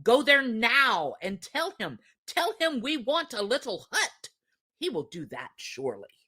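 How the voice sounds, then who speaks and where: A woman's voice: a little high, very animated, unhurried, bright, forceful tone, slightly rough, pitched above the performer's own voice, full character voice. A woman voicing a nagging, discontented storybook wife with an insistent, wheedling way of talking. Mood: demanding.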